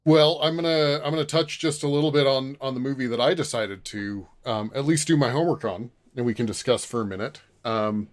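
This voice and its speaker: A man in his forties hosts a casual podcast chat. His voice is medium in pitch, and he talks haltingly, circling back as he thinks aloud. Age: 40s. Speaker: podcast host. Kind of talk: casual film discussion.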